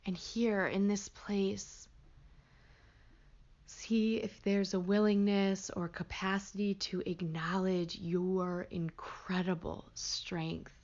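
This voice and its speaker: A woman leading a guided meditation as a teacher, gentle and hushed, with pauses between short phrases. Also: mid-range voice, little pitch movement, highs cut off.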